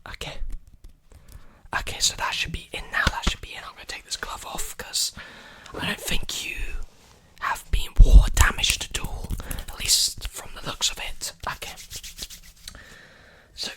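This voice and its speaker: A man's voice: a little slow, long pauses, poor audio. Young man whispering close to the mic. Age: early 20s. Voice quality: soft breathy whisper. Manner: soothing, repeating short phrases. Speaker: ASMR creator playing a robot repair technician. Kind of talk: ASMR roleplay. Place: small indoor room. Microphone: desktop condenser mic very close to the mouth.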